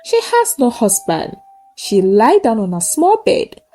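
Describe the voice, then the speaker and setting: A woman tells a folktale in a high voice that swings widely in pitch. Her phrasing is short and emphatic, with a solemn, dramatic storytelling style. Music accompanies her narration. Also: wildly swooping pitch, measured pace, dark tone.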